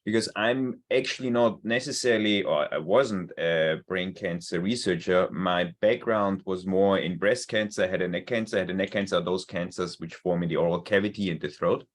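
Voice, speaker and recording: A man with an Austrian accent and a German mother tongue speaks over a video call. His voice sits very low, and his talk is earnest, with frequent repeated words as he looks for the right phrasing. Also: moderate pace, hardly any pauses. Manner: earnest, repeats words while searching for them. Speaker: cancer researcher. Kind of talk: webinar talk.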